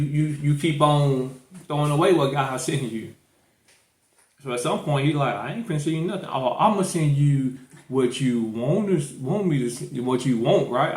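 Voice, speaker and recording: A man's voice: on the high side, reverberant. A man hosting a podcast, talking loosely and rambling, with many restarts and fillers. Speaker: podcast host. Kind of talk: casual chat.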